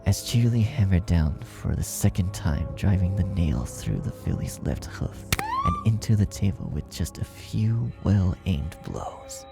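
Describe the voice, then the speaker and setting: A man with a deep, creaky voice narrates a horror tale. He reads from a script in a dramatic storytelling style, with music clearly playing behind him. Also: big pitch swings, unhurried. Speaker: narrator, fan fiction reader.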